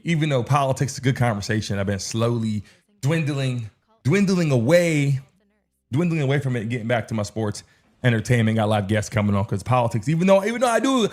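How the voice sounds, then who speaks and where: A man hosting a sports livestream, chatting casually with viewers in slang, cursing and repeating his phrases. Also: mid-range voice.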